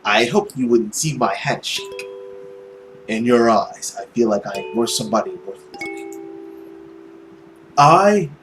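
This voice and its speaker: A man voicing a brooding, cynical, tormented man in a visual novel, slow, hushed and solemn. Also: medium pitch, expressive, over music, close to an everyday voice. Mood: brooding, weary. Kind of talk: dramatic visual novel reading.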